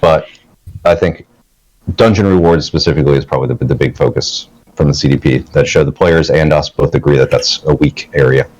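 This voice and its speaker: A man who works as a lead systems designer, answering panel questions in a relaxed, thoughtful way. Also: extremely low pitch, a little fast, poor audio.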